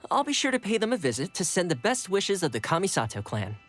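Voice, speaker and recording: Casting: friendly young housekeeper-retainer for a game, lively swooping intonation, casual, loyal, faint music. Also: male voice, high-pitched, quick pace, heavily acted. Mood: earnest, puzzled. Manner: casual, expressive, helpful. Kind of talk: video game character acting.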